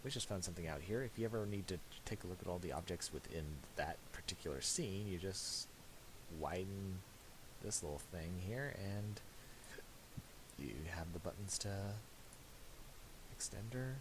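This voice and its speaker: A man narrating a casual screen-recorded software tutorial, halting and thinking aloud as he goes. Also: deep voice. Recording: hissy home recording with clicks.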